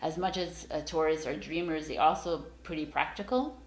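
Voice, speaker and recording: Woman, tarot reader, low voice, rambling and encouraging, echoey room. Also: moderate pace. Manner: rambling, encouraging, many fillers and restarts. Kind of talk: casual tarot reading to camera.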